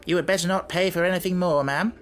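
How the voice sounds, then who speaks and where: A man's voice: high-pitched, fairly even intonation, fast talker, pitched above the performer's own voice, natural voice. Unsympathetic storybook businessman, man reading the part: matter-of-fact, unruffled, shrewd, faint background noise. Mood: dry, blunt. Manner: dry, detached, matter-of-fact.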